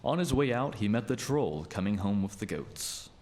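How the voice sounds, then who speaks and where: A man's voice: on the low side, big pitch swings, moderate pace, darkish tone. A young man narrating a fairy tale for a radio play in a storytelling style. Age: young adult.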